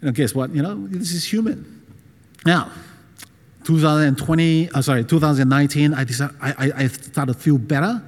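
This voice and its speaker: A man's voice: on the high side, moderate pace. A middle-aged man from Taiwan who moved to Australia as a child, a non-native English speaker, preaching informally and hesitantly as a guest. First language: not English. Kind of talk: informal personal sermon. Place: large reverberant room with a gathering. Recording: reverberant hall.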